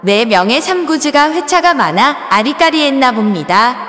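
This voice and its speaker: A woman reading a short scripted correction note in a plain, even manner. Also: high-pitched, normal speed, in a large hall.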